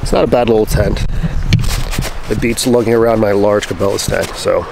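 Male, thirties, low gravelly voice, plain-spoken camping vlogger talking off the cuff, noisy outdoor camera audio. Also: steady tone, normal speed. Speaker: camping vlogger reviewing gear. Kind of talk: casual unscripted gear review to camera. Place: outdoors at a forest campsite, inside and beside a tent. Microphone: handheld camera at arm's length. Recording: outdoor camera audio with background noise, clicks.

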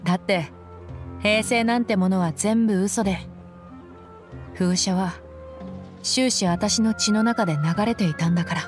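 A woman's voice: mid-range voice, a little slow, pauses often, lightly acted. Woman acting tough, frank young female mercenary, music behind. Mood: consoling, reflective. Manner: rough, tomboyish casual speech. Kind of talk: dramatic anime-style game dialogue. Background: background game music.

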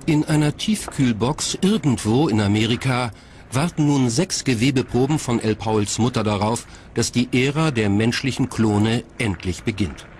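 A man's voice: mid-range voice, darkish tone, a little hiss or hum. A man reading scripted documentary narration as a narrator.

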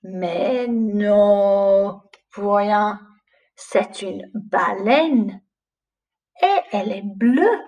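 A woman in her forties teaching young children through a picture book, speaking in a carefully paced, expressive way. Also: mid-range voice, distant mic, lo-fi sound. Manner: slow, clearly paced, with expressive questioning intonation. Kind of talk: storytime lesson for young children. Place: small plain room indoors.

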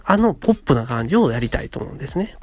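A man around thirty with a mid-range voice. He talks casually but politely, like a radio host telling stories and pitching ideas. Music plays behind him. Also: big pitch swings, racing, darkish tone. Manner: polite, conversational, acts out short quotes. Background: background music.

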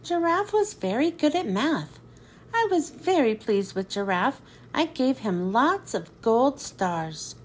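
A woman reading a children's picture book aloud in an expressive storytelling manner, then thanking her listeners. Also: high-pitched, slow pace, slight background noise.

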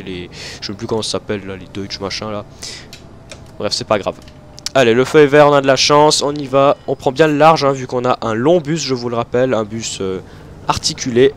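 A man's voice: mid-range voice, slight background noise. Chatty young man with a stuffy nose. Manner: chatty, rambling, many fillers and self-corrections. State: stuffy nose from hay fever. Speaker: gaming video host. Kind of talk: casual gameplay commentary.

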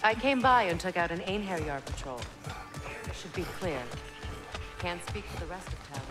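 An actress playing a grave, formal warrior woman in a fantasy video game, measured and serious. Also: fairly low pitch, animated, over loud music, natural voice. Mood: matter-of-fact. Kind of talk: video game cutscene acting.

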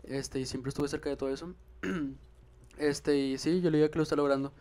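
A man's voice: on the high side, fairly even intonation, brisk, darkish tone. A young man, a musician guest in a casual podcast chat, easygoing with hesitant fillers, on a handheld mic in a small room. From Mexico. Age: young adult. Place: small room, seated on a couch. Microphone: handheld microphone.

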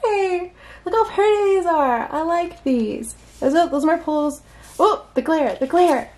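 A woman's voice: extremely high pitch, measured pace, darkish tone. A woman chatting casually through an unboxing, giggly and full of little exclamations as she talks to herself. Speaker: unboxing vlogger. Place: indoors at a tabletop.

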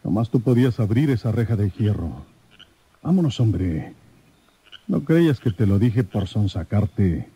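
Husky, shrewd rural detective, Mexican. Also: male voice, fairly low pitch, sing-song, unhurried, mellow, exaggerated voice. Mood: firm, persuasive. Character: Porfirio Cadena, shrewd rough rural detective, persuasive. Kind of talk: Mexican radio drama acting.

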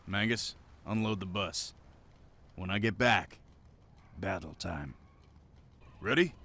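An actor playing a burly, cocky heavy metal roadie hero in a video game, brash and confident. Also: male voice, deep voice, slow pace, pushed and bright, exaggerated voice. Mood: commanding. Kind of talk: video game cutscene acting. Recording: game cutscene with clear background noise.

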